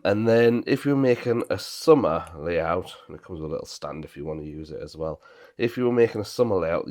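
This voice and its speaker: A man with a bunged-up, slightly breathy voice from a cold, chatting casually through a hobby how-to in his garage workshop. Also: low-pitched. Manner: chatty, relaxed, talks through each step with fillers. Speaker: channel host and model railway builder. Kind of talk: casual how-to vlog narration.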